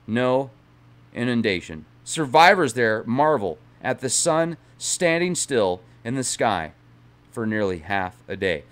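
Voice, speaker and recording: Lively man reading aloud dramatically. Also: mid-range voice, slow. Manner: dramatic read-aloud with chatty digressions. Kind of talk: reading a book aloud with casual asides.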